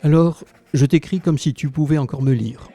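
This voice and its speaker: A man playing an elderly, tender former resistance fighter who narrates his written memories in a slow, wistful, literary way. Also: fairly high pitch, dark tone.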